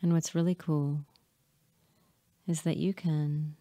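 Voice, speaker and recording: Slow, low-voiced woman guiding hypnosis. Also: flat delivery, mellow, no room sound. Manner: soothing, measured, with frequent pauses between short phrases. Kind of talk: hypnotic guided meditation.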